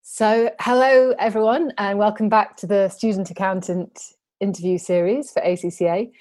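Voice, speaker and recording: Woman, podcast host, mid-range pitch, conversational interviewing, video call. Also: steady tone, moderate pace, a little mellow.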